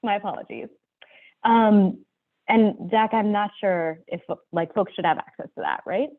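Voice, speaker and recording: A woman's voice: medium pitch, lively intonation. A woman moderating an online panel in a chatty, loose way, thanking speakers and steering the talk. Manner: chatty, loose, with fillers and quick apologies. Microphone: video call. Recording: tinny phone-like video call audio.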